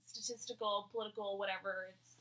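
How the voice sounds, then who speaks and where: A young woman co-hosting a podcast, chatting with friends in an animated, emphatic way and laughing often. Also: mid-range voice, fast talker. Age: young adult. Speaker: podcast co-host. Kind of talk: casual chat among friends.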